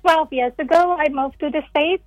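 Woman, 30s, open guest; high voice, dry phone line with faint music. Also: normal speed, darkish tone, dry recording. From South Africa. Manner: open, laughs often, repeats words. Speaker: guest, nonprofit founder. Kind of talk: casual interview.

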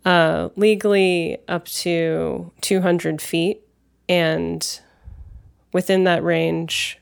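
A woman's voice: fairly low pitch, flat delivery, extremely slow, creaky, clean tone. A woman from Los Angeles, a musician, talking thoughtfully in an interview. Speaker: guest, musician. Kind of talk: interview talk.

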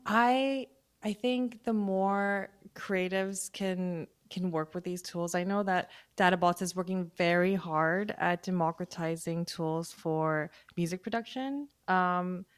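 A woman musician speaking as a guest in a casual panel talk, thoughtful and halting, with many fillers and restarts. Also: lowish voice, clear, resonant tone, dry recording.

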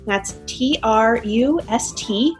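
A woman's voice: fairly high pitch, slow pace, over music. A woman guest on a casual podcast, talking openly with frequent laughs and self-deprecating humor. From United States.

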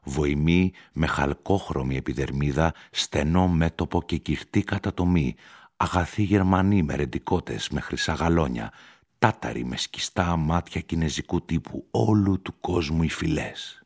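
A man narrating a literary short story for radio in a measured, deliberate manner. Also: very deep voice, expressive. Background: music.

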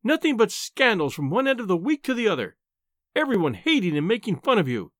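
A man's voice: extremely high pitch, huge pitch swings, takes it slowly, no echo, studio quality, pitched above the performer's own voice, heavily acted. A man voicing a small, furious, indignant wife in an audiobook, screaming and wailing through her scolding. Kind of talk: audiobook character acting.

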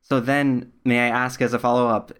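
A man's voice: mid-range voice, little pitch movement, moderate pace, a bit bright, smooth. Interested male podcast interviewer. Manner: interested, affirming. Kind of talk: conversational interviewing.

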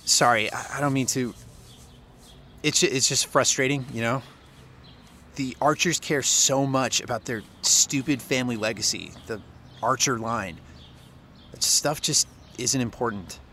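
A man's voice: mid-range voice, unhurried, pauses often, bright, forceful tone, slight background noise. A man playing a sardonic, frustrated young small-town reporter in a naturalistic audio drama. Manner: dry, frustrated, wry.

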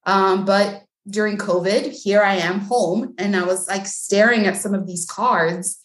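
A woman's voice: mid-range voice, fairly even intonation, a little slow. A woman from Mexico, a guest on a podcast, talking casually with lots of "like" and "um" fillers. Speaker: guest, bilingual speech language pathologist. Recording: echoey room.

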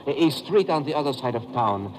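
A man's voice: mid-range voice, expressive, a little fast, close to an everyday voice, low-quality recording. An actor playing a polite, formal, slightly hesitant male airport clerk in a 1950s radio drama. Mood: courteous, inquisitive. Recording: old radio recording with loud noise.

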